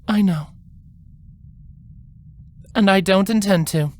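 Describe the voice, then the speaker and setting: A man voicing a caring but stern older sister, a pony princess, speaking softly and earnestly in a fan fiction story. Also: high voice, voice pushed up. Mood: kind, firm, sad. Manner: soft-spoken, deliberate, earnest. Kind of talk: fan fiction character reading.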